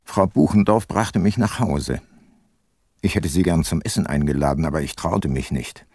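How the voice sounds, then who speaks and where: An actor playing an ageing, remorseful detective and former prosecutor in a radio drama, narrating and talking in a measured, reflective way. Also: male voice, very deep voice, a little mellow, husky, no echo, clean studio sound, full character voice. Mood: reflective, sober. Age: older. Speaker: narrator and lead character.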